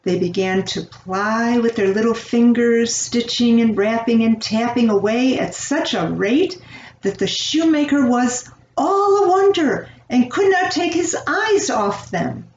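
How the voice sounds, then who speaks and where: Slow-reading older woman in a roomy recording. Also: on the high side, lively intonation, darkish tone. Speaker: storyteller. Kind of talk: reading a children's fairy tale aloud. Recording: roomy, distant, dull home recording, faint noise.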